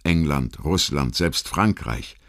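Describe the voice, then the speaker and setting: A man reading a scripted history documentary as an audiobook narrator, in a measured, literary delivery with deliberate phrasing. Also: a bass voice, animated.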